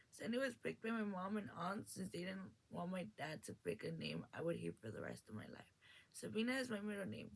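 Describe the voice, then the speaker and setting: Earnest teenage girl with a gravelly edge. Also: mid-range voice, rough recording. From Mexico. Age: late teens. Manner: earnest, reads with small restarts. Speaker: graduating high school student. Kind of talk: reading a prepared personal speech.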